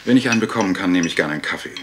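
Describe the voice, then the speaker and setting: A man playing a breezy, slightly tired young gentleman of a manor in a radio mystery, casual and teasing. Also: deep voice, racing, a little hiss or hum, natural voice. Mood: offhand, weary. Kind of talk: German radio mystery play acting.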